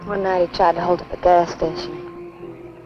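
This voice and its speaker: A woman's voice: fairly low pitch, sing-song, normal speed. A woman playing a world-weary, flirtatious backwoods wife with an American accent, in 1950s B-movie style. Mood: weary, confiding. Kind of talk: 1950s B-movie film acting. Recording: muffled old film soundtrack with music.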